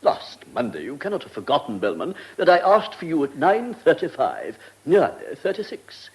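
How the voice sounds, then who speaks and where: An actor playing a pompous, vain, fussy government minister in a radio comedy drama, with an upper-class English accent and a self-satisfied, dithering manner. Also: male voice, on the high side, big pitch swings, moderate pace, rough recording. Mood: reproving.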